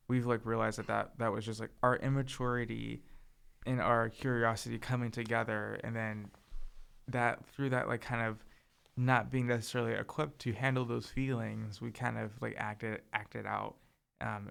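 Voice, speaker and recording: A young man co-hosting a casual chat, soft-spoken and hesitant, filling his talk with "like" and "um". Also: mid-range voice, level tone, moderate pace, creaky, smooth. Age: young adult. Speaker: podcast co-host.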